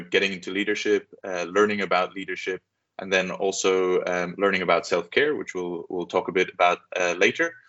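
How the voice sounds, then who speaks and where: A young man from Switzerland who grew up in Southeast Asia, talking casually and a little haltingly as a podcast guest. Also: low voice, fairly bright tone. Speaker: podcast guest, business leader. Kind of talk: casual interview chat. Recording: dull, muffled lo-fi sound.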